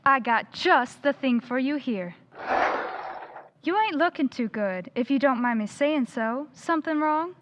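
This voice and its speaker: Nosy folksy shop lady, woman playing her, high voice, country talk. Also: expressive, a little slow, noisy background. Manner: folksy rural speech, nosy. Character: gossipy folksy woman shopkeeper. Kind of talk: 1940s radio acting.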